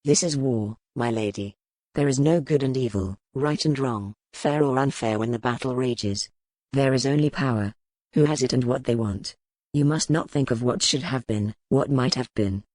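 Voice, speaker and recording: Woman voicing a low, commanding, gentle duke. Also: normal speed, dry recording. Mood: grave, gentle. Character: gentle, battle-hardened male duke, commanding lover. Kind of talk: dramatic audiobook character reading.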